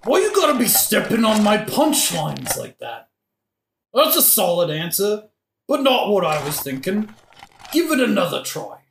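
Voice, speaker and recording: Performer as drunken gravedigger, cheeky, joking, argumentative, swooping pitch, roomy echo, comic audio drama. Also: wildly swooping pitch, vocal fry, fairly bright tone, heavily acted. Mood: teasing. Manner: argumentative, mock-logical, joking, sometimes singing slowly. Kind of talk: modern-language comic audio drama acting. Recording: roomy echo, faint noise.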